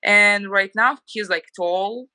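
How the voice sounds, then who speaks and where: A woman in her thirties from Ukraine speaks in a bright, forward voice with a Ukrainian accent. She chats loosely as an interview guest and strings her thoughts together with many "like" fillers, recorded dry with no room sound. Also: mid-range voice, takes it slowly, no room sound. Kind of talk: casual interview chat.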